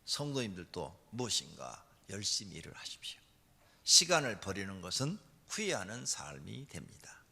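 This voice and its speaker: Male pastor, church sermon, widely swinging pitch, emphatic delivery from hushed to loud, long hall reverb. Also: huge pitch swings, moderate pace, vocal fry, slightly rough. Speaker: pastor preaching a Sunday sermon. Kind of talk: church sermon, exhortation with read-out stories. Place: large church hall during a service.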